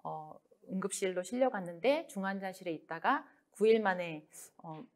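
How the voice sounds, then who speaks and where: A woman author giving a book talk, speaking in a soft, measured way with many pauses and fillers. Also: mid-range voice, expressive.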